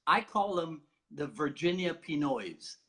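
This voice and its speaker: A man from Barbados hosting a casual conversation, talking in an animated, chatty way and laughing often. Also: on the high side, a little slow. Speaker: host.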